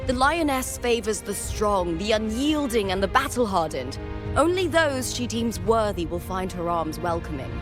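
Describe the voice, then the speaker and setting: A woman voicing a proud, warm, spirited champion warrior in a video game, with a deliberate, stately delivery. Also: medium pitch, sing-song, over music, natural voice. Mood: proud, reverent. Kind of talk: voiced game dialogue.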